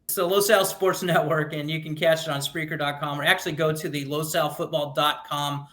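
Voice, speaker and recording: A man chatting about football as a sports guest, talking in a measured, analytical way. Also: fairly high pitch, steady tone, a bit bright. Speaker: guest, local sports network game broadcaster. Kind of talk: conversational football talk.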